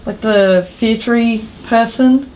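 A woman chatting informally as a podcast co-host, excitable and emphatic in the way she talks. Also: a little high, slow pace, slight background noise, rough recording. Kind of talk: casual chat about games and films.

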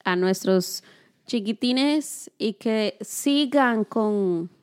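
Woman co-host, thirties, encouraging, bright-ish higher voice, casual talk. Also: animated, very slow, clean tone. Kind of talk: casual conversation.

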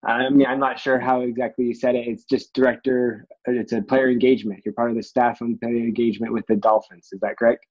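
A man hosts a podcast, greeting his guest and asking questions in a friendly, halting way, often pausing on fillers. His voice sits at an average pitch and comes through muffled and lo-fi. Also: flat delivery, darkish tone. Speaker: podcast host. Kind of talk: casual interview hosting. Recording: muffled lo-fi audio.

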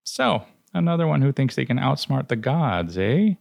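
A man voicing an old, hunched female fate goddess who talks in a brisk, knowing, grandmotherly way. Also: medium pitch, big pitch swings, creaky, darkish tone, no room sound, pitched above the performer's own voice.

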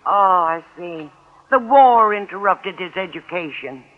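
A woman's voice: lowish voice, lively intonation, measured pace. An actress playing a chatty, naive, scatterbrained older landlady in an old-time radio sitcom. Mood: earnest, puzzled. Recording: dull, noisy old radio recording.

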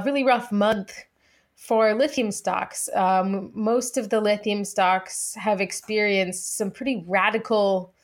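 Wry woman hosting a casual podcast. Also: mid-range voice. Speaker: podcast co-host.

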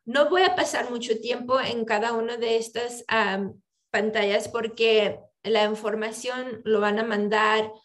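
A woman's voice: fairly high pitch, steady tone, measured pace. A woman attorney giving an online seminar, switching back and forth between English and Spanish with frequent ums. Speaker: immigration attorney and presenter. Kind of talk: bilingual online seminar presentation. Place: home video call. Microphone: video call microphone.

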